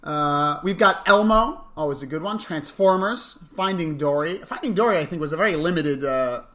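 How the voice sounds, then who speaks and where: Bright-voiced young man, dry and mock-serious. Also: on the high side, moderate pace. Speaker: co-host of a camp morning show podcast. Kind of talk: chatty news and mailbox segment.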